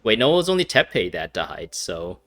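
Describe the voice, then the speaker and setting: A man's voice: sits low, sing-song, moderate pace, a bit bright. A man giving casual, rambling commentary over a video game, with many fillers and frequent laughs. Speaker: gameplay streamer.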